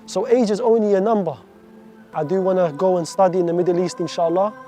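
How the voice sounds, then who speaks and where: A man's voice: high voice, quick pace, dark tone, faint music behind. A man in his twenties from Iraq, giving an earnest, heartfelt faith testimony full of devout phrases. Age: 20s.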